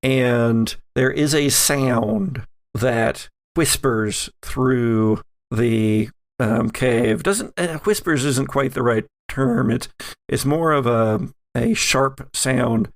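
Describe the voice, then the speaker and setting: A man narrating an improvised horror tabletop game as game master, with dramatic pauses and searching phrasing. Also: mid-range voice, gravelly, dry recording, clean studio sound.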